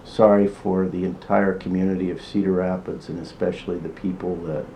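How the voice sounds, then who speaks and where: Low, mellow-voiced American businessman. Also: little pitch movement, a little fast, a little hiss or hum, rough recording. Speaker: developer interviewed for news. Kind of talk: news interview soundbite.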